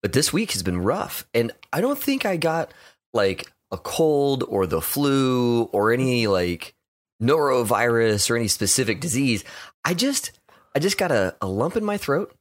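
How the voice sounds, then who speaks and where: A man hosting a gaming podcast in casual chat, lively and quick to laugh. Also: medium pitch. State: recovering from a sore throat. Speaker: podcast host.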